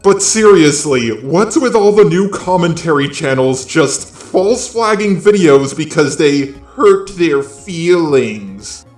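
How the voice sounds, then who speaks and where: A man's voice: fairly high pitch, expressive, slow, darkish tone, echoey room, faint music behind. A man giving casual, opinionated drama commentary in a blunt, conversational way with swearing. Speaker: commentary channel host.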